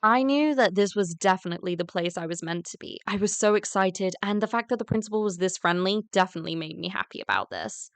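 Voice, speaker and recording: A woman plays an excited new girl at school telling her own story. Her mid-pitched voice is clear and smooth, recorded very dry. She is eager and bubbly, in the style of an animated series. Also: fast, hardly any pauses, no room sound, natural voice. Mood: earnest narration. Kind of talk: first-person scripted story narration and acting.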